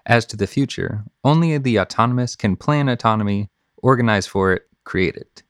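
A man's voice: mid-range voice, moderate pace, no echo, clean studio sound. A man reading scripted essays and news commentary as a podcast host.

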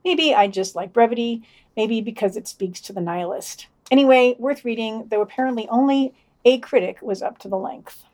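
A woman's voice: a little high, normal speed, a little mellow. A woman co-hosting a podcast, reading out a news digest with dry, wry asides. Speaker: podcast co-host.

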